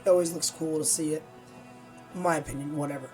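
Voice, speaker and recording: A gaming streamer with a hoarse, nearly lost voice, chatting casually and rambling to viewers before playing. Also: medium pitch, steady tone, brisk, a little mellow, soft background music. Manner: rambling, easygoing, many fillers.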